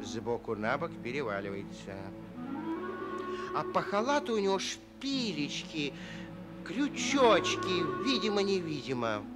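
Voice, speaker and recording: Animated, slow-paced male storyteller. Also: on the high side. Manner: theatrical, expressive. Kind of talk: scripted fairy-tale narration, 1940s radio acting. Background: music.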